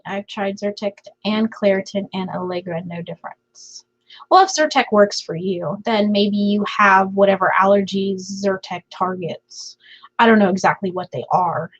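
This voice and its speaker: A woman's voice: mid-range voice, slightly rough, lo-fi sound. Woman host with a scratchy voice. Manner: chatty, laughs often, many fillers. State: scratchy, half-lost voice. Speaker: livestream host. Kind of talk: casual chat reading viewer comments. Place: home.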